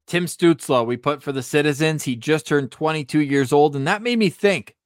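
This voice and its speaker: A man with a fairly high voice talks as a sports podcast host. He runs through hockey talk in a conversational way, stressing the figures. The sound is dry, with very little room. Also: moderate pace, no room sound. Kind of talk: lively hockey talk with stats.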